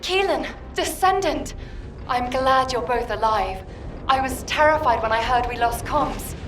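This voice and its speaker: An actress playing a caring, anxious woman at mission headquarters in a video game, speaking in a heartfelt, measured way. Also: sits high, fairly bright tone, echoey room, distant mic, slight background noise. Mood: relieved. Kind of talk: video game dialogue acting.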